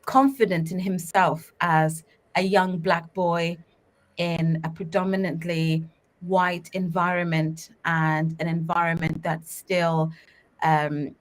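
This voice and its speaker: Woman in her thirties, low-pitched, reflective, filler-heavy, video call. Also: flat delivery, slow. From Uganda. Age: 30s. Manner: warm, reflective, many fillers. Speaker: guest, startup co-founder. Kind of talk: casual interview talk.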